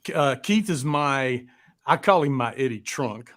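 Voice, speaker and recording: A man's voice: mid-range voice, animated, unhurried, fairly bright tone. A man hosting a casual live chat in a rambling, joking manner with plenty of swearing. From American South. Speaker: podcast host.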